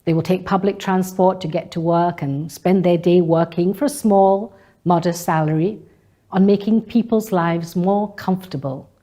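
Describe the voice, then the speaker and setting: A woman speaks in a slightly low, dark and relaxed voice. She delivers a prepared spoken-word piece. Her phrasing is deliberate and measured, built on rhetorical repetition. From Malaysia. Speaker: speaker delivering a personal monologue. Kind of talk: scripted spoken-word monologue.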